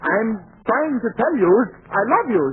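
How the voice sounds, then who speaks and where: Prim animated professor, old radio. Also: male voice, extremely high pitch, normal speed. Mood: earnest. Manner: fussy, polysyllabic, formal. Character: prim, pedantic male zoology professor, mild but unflappable. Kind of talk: 1940s radio comedy acting. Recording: noisy, narrow-band 1940s radio recording.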